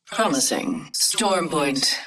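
An imperious female android warrior from a video game. Her voice is low and slightly distant, each haughty word measured and cold. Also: expressive, fairly bright tone, exaggerated voice.

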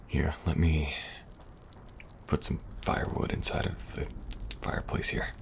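An extremely low, fry-laden voice from a male actor gives a young male special agent a soft, protective air. He reassures gently and a little hesitantly, with wry humor, in an ASMR audio roleplay. Noisy ambience sits behind him. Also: flat delivery, unhurried, full character voice. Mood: gentle, reassuring. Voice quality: gravelly, breathy at times. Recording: audible background noise, ambience.

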